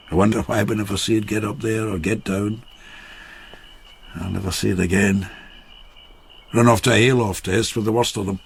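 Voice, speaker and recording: An actor playing a widowed peasant farmer, boisterous and fond of drink, who rambles jovially and turns reflective in a radio drama. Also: male voice, on the low side, creaky, slight background noise. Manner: jovial, rambling, slightly tipsy. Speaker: Takeshi, a farmer friend.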